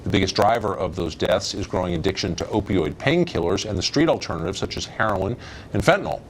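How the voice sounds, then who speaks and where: A man's voice: sits low, expressive, fast, slight background noise. A man anchoring a news show, reading a grave, emphatic script. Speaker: news host.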